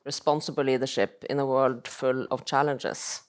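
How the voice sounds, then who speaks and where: A young woman moderating a seminar on stage, speaking politely and formally in a measured way. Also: extremely low pitch, fairly even intonation. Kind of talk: formal onstage interviewing. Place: business school seminar stage.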